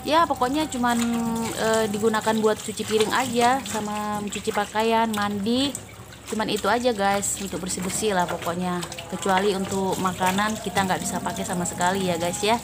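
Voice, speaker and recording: Chatty woman over clear background noise. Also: medium pitch, steady tone, normal speed. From Medan, Indonesia. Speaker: homemaker vlogger. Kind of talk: casual chatty vlog voice-over.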